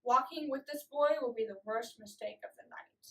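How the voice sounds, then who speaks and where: Young woman doing slam poetry, really high voice, dramatic pauses, sounds kinda far from the mic. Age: young adult. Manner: dramatic, deliberate phrasing with emphatic pauses. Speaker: slam poet. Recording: voice distant from the mic.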